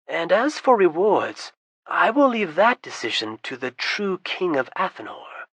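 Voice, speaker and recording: A reader voicing a composed, courteous wizard adventurer who speaks smoothly and persuasively. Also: mid-range voice, sing-song, measured pace, fairly bright tone, no room sound, voice pushed up, full character voice. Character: calm, smooth-talking adult wizard adventurer.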